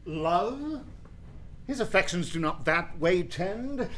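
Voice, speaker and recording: A calculating middle-aged monarch played by a male actor speaks slowly and weightily, a little high in pitch. The delivery is Shakespearean radio drama, suspicious in temper, with faint noise behind. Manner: deliberate, weighty phrasing. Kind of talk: Shakespearean radio play acting.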